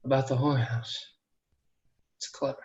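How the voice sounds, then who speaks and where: A man's voice: mid-range voice, little pitch movement, moderate pace, background noise, lightly acted. Man playing an earnest traveller, muffled lo-fi sound. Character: earnest, polite, reserved traveller riding with a posse. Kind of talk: screenplay table read.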